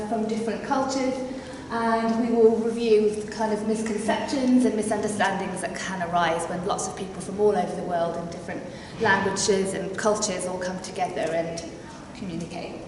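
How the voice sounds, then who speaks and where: A woman's voice: medium pitch, steady tone, moderate pace. Woman presenting in an echoey hall. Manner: hesitant, many filler sounds. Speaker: workshop presenter. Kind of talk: presenting a parent workshop. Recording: echoey hall, distant mic, faint noise.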